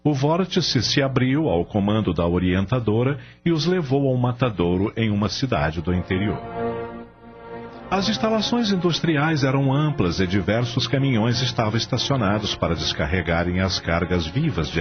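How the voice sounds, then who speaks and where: Guy narrating radio play, lowish voice, really animated, music behind, bit muffled. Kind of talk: dramatic scripted narration. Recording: dull sound under clear music bed.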